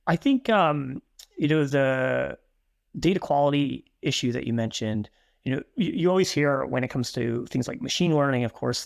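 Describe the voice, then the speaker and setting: A man's voice: medium pitch, vocal fry, no echo. A man in his thirties hosting a podcast, asking questions in a thoughtful way with many fillers, into a studio mic at home. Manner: thoughtful, lots of you knows. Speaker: podcast host. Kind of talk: interview questions. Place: home room. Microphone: studio mic on a boom arm.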